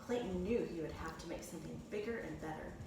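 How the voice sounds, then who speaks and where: A woman's voice: lowish voice, quick pace. A woman, a teacher, reads a children's storybook aloud in a narrating style. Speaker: second grade teacher reading aloud. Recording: echoey room, distant mic, clear background noise.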